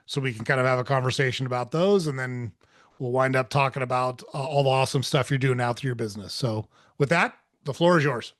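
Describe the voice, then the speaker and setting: Male podcast host, mid-pitched voice, dry close sound, loose and chatty with plenty of fillers. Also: fast talker, fairly bright tone, dry recording. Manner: friendly, rambling, lots of fillers. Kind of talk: casual podcast interview.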